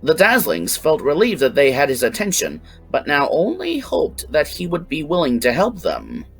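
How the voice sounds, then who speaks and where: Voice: medium pitch, huge pitch swings, moderate pace, fairly bright tone, breathy edge, faint music behind. A narrator reading a scripted adventure story.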